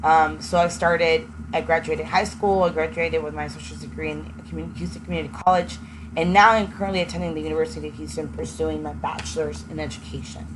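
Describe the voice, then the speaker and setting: A young woman who grew up in Texas, a native Spanish speaker, earnestly telling her own story as an education student and pausing often with ums. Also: low-pitched, fairly even intonation. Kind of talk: personal testimony. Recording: noisy with passing vehicle sounds.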